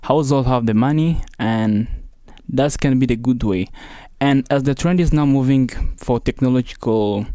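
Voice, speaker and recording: A man's voice: medium pitch, moderate pace, hardly any pauses, warm tone, clean tone, no echo, clean studio sound. A man from the Democratic Republic of the Congo, a Swahili speaker, earnestly describing his project as a student guest. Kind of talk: interview answers.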